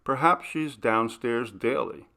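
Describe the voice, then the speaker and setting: A man reading aloud, voicing an amused, courteous young gentleman in a ghost story. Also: mid-range voice, slow, creaky, exaggerated voice. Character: young gentleman host, Paul, amused and mysterious.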